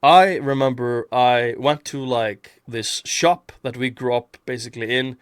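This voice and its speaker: A man's voice: mid-range voice, fairly even intonation, unhurried, clean tone, no room sound, clean studio sound. A man from Iceland hosting a casual podcast chat, rambling with many restarts. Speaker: podcast host.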